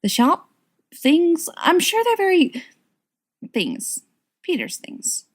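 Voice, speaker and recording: A woman voicing a gentle, teasing young woman who trails off hesitantly in a story reading. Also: extremely high pitch, huge pitch swings, takes it slowly, pitched above the performer's own voice, heavily acted.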